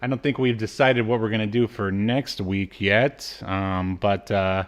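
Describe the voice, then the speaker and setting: Male podcast co-host, lowish pitch, casual livestream banter, joking and self-deprecating. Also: fairly even intonation, a little slow.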